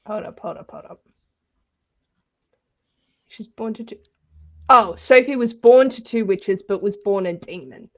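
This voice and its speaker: A woman co-hosting a book club livestream, chatting casually with animated reactions and frank slang. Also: medium pitch, measured pace, pauses often, dull audio. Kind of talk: casual chat.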